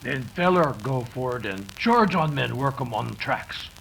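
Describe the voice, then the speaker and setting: An actor playing a loyal, plain-spoken sidekick in an old-time radio western, talking in clipped pidgin English. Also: male voice, medium pitch, animated, normal speed, creaky, fairly bright tone, a little hiss or hum, rough recording. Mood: reporting.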